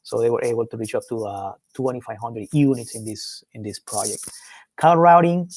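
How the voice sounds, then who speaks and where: A man giving an informal technical product presentation as a webinar presenter, haltingly, often repeating and rephrasing his words. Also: mid-range voice, darkish tone, slight background noise, rough recording.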